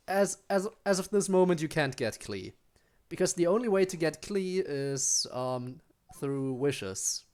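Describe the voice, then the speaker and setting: A man's voice: a little high, smooth. A young man with a German accent, a native German speaker, casually chatting with his chat while streaming a game, with frequent ums and restarts.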